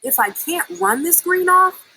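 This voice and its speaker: A woman voicing a restless, defiant young male snake in a storybook, excitable and exclamatory. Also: very high-pitched, measured pace, a little hiss or hum, pitched above the performer's own voice, exaggerated voice, poor audio. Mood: determined.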